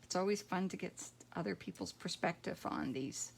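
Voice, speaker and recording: A middle-aged woman with a croaky voice, demonstrating card making at home and thinking aloud in a relaxed, rambling way. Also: on the low side, moderate pace, slight background noise. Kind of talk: casual craft tutorial.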